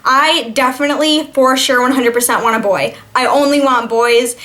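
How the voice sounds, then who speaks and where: A woman's voice: high-pitched, normal speed, bright, forceful tone. A twenty-year-old woman chatting casually in a question-and-answer vlog, informal and talkative as she reads and answers viewer questions.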